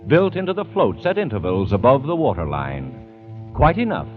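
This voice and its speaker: A man's voice: medium pitch, huge pitch swings, moderate pace. A man giving dramatic scripted narration in an old-time radio drama. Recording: muffled vintage radio recording. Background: music.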